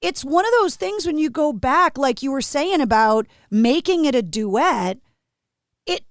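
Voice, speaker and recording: A woman with a fairly high voice hosts a casual interview chat. She is playful and quick with a joke, and her voice sounds close and dry. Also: animated, no room sound. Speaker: podcast host.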